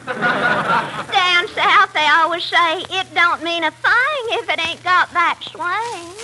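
A coy, girlish comedienne from an old radio broadcast, a woman with a very high, bright voice. She takes her lines slowly, drawing out each punchline. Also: animated, a little hiss or hum, poor audio. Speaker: guest comic actress. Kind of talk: 1940s radio comedy banter.

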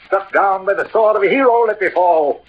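A man's voice: high voice, slight background noise, close to an everyday voice. An actor playing a proud, defiant, poetic dying swordsman in theatrical, declamatory 1940s radio verse style. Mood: wry, fading. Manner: declamatory, grandiloquent, dramatic pauses. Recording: muffled vintage radio recording, music behind.

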